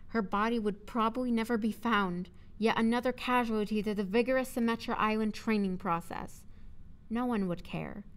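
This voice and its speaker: A woman's voice: on the high side, normal speed. A woman voice actor reading scripted audio drama narration, with casual chat between takes.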